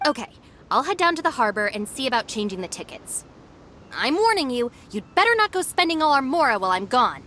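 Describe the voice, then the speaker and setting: No-nonsense young deputy, lively pitch swings. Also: female voice, brisk, slight background noise, heavily acted. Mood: resigned, stern. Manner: stern, practical, sighing, no-nonsense. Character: exasperated, sensible young female gang deputy. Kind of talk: anime game voice acting.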